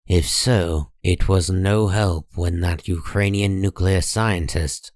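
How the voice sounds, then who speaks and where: A man reading dramatic comic-book narration in a grave, measured and deliberate manner. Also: deep voice, fairly even intonation, darkish tone, no echo, clean studio sound.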